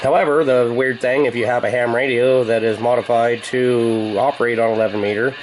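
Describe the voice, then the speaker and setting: A man's voice: mid-range voice, steady tone, brisk, background noise. An American man vlogging in a rambling, casual chat, with a wry, joking, sarcastic manner. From United States.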